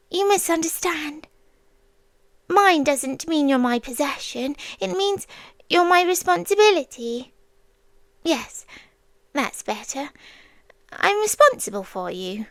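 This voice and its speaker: A British woman playing a possessive, childlike female sea demon in an ASMR roleplay, speaking in a soft, breathy, coaxing voice that often drops to a whisper. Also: sits high, no echo, heavily acted. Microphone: close microphone, dry.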